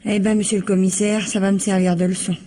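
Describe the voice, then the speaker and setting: A woman's voice: mid-range voice, flat delivery, fast talker, warm tone, natural voice. An actress playing a naive, frank working-class woman in an old French radio mystery, talking colloquially with unpolished grammar. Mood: reflective.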